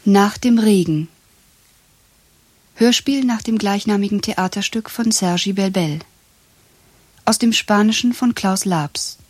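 A female radio announcer reading scripted credits at a slow pace. Her mid-pitched voice is husky, and the sound is dry and close. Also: a little mellow, no room sound.

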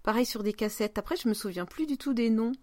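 A woman talking casually as a podcast host in relaxed conversation. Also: fairly high pitch, quick pace, dry recording. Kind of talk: casual chat.

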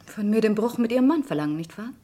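High-voiced, self-possessed cabaret dancer. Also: female voice, lively intonation, quick pace, warm tone. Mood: cool, challenging. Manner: candid, pointed, composed. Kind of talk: German radio crime drama acting.

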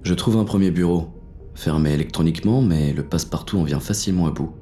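An actor playing a wary police inspector on a burglary, narrating in a terse, tense inner monologue for an audio drama. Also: male voice, low-pitched, fast, dark tone, faint music behind, lightly acted. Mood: cautious, fascinated.

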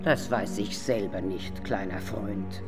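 A woman's voice: very deep voice, slow pace, music in the background. A woman playing Night as a weary, imperious, grumbling female spirit in a theatrical radio drama style, sighing and at times whispering. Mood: grudging. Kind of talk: German radio drama acting.

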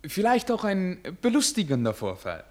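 A young prince in a radio play. The voice sits a little high and moves in an animated way, courteous and formal, with aristocratic eagerness. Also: male voice, moderate pace. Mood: regal, amused. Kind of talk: German radio play acting.

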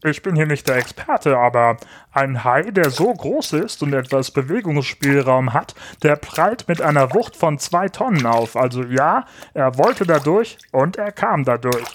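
Man acting a shark handler, slightly high voice, sober, matter-of-fact, watery noise behind. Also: lively intonation, measured pace. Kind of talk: amateur audio drama re-enactment of film dialogue. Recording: noisy track with watery sounds behind.

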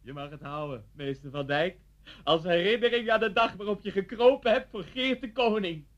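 A man's voice: sits high, moderate pace, lo-fi sound, natural voice. An actor playing a vengeful, deranged middle-aged man in a radio play, mocking and theatrical as he threatens someone. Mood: triumphant. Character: vengeful, deranged man taunting his old schoolteacher at gunpoint.

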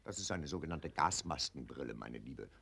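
An actor playing a pompous, patronizing older colonel in a 1940s radio play, blustering and lecturing. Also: male voice, rumbling low, expressive, normal speed, creaky, fairly bright tone, slightly rough, full character voice.

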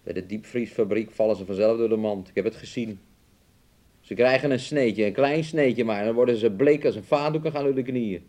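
A man's voice: mid-range voice, brisk, darkish tone, lightly acted. An actor in a Dutch radio drama playing a volatile, taunting working-class man who swings between scorn and coaxing. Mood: scornful, boastful.

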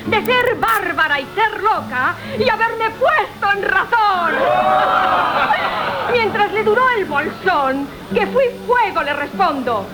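An actress playing a brazen, mocking bride who tricks men, declaiming teasingly in a comic period radio play. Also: female voice, extremely high pitch, expressive, slow pace, heavily acted, low-quality recording. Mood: unrepentant. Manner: teasing, declamatory, laughing through lines. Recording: radio drama with loud music and crowd.

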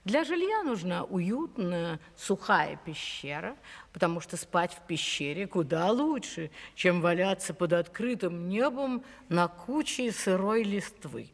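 An actress playing a confident, bewitching cave woman in a fairy-tale audio play, speaking in a measured, expressive way. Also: lowish voice, big-room sound. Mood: firm, homely.

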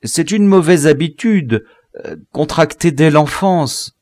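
A trembling, servile captive from an adventure novel, put on by a male reader. His voice climbs high and wavers in pitch as he haltingly stammers and pleads, humble and scared. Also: animated, darkish tone, no echo. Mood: apologetic, pleading. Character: cowardly, servile, trembling male colonial prisoner.

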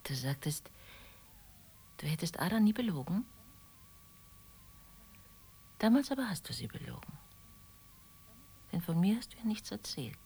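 An actress in a German radio play voicing a wistful, gently reproachful former lover, often breathy and near a whisper, speaking in a pensive, softly ironic way. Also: female voice, medium pitch, sing-song, moderate pace, pauses often, full character voice. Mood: hurt, ironic.

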